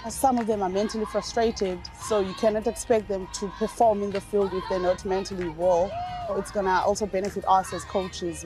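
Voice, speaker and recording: A woman's voice: mid-range voice, a little mellow. A woman cricket coach speaking directly and plainly in a documentary interview.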